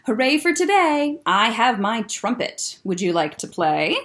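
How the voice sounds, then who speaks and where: A woman voicing a cheerful little female owl who keeps asking friends to play and whispers soft goodnights. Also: fairly high pitch, very animated, measured pace. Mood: hopeful.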